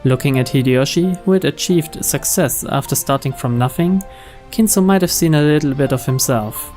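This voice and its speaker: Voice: medium pitch, normal speed, darkish tone. A narrator reading scripted visual novel story text. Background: background music.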